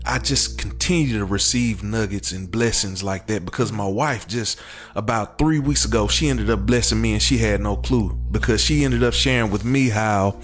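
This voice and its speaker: A man's voice: on the low side, normal speed, soft background music. A man speaking as a talk show guest, giving affirmations and personal testimony in an earnest, emphatic way.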